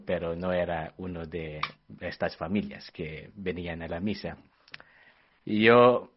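Filipino-accented young man, low and earnest. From Philippines. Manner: earnest, halting, searching for words. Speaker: missionary guest. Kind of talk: personal testimony in an interview. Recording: dull lo-fi sound.

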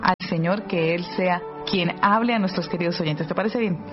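A woman, a religious sister hosting a devotional radio interview, speaking in a gentle, prayerful and encouraging manner. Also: fairly low pitch, normal speed. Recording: dull lo-fi sound under loud music. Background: music.

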